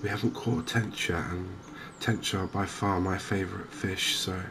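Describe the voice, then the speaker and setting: Low, creaky, soft-spoken English man. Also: fairly even intonation, normal speed, noisy background. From England. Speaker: gaming video host. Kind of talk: soft-spoken ASMR game commentary.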